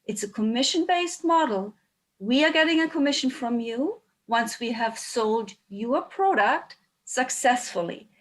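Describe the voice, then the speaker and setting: A woman's voice: fairly high pitch, lively intonation, a little slow. Woman explaining earnestly. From Germany. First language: German. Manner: earnest, explanatory, stresses key points. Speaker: tour operator on a panel. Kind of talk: panel discussion.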